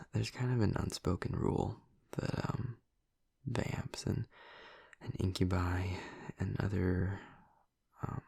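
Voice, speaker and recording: Man acting a husky, low-voiced gentle vampire roommate. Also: no echo, clean studio sound, full character voice. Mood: gentle, attentive. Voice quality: soft, breathy, husky. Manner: hesitant, halting, tender. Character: gentle, awkward, affectionate male vampire roommate. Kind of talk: intimate audio roleplay addressed to the listener. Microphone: close, dry microphone.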